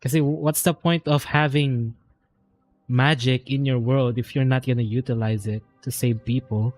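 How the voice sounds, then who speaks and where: A man's voice: medium pitch, steady tone, normal speed, a little mellow. A Filipino-accented man doing casual, slangy live game commentary, mixing English and Filipino. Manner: slangy, swearing. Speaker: game streamer. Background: game music.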